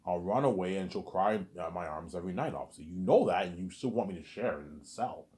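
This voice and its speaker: A man talking straight to his audience in a rambling monologue, repeating himself, stumbling over restarts, and letting his asides fade quietly. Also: low voice, animated, fairly quick. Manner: repetitive, stammers on restarts, trails off quietly on asides. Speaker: solo vlogger.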